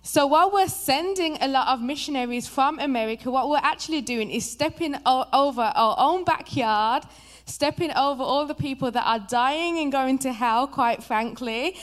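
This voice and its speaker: Woman, Birmingham accent, young, guest preacher, high voice, lively chatty delivery. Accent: Birmingham English. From England. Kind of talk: sermon introduction. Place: church.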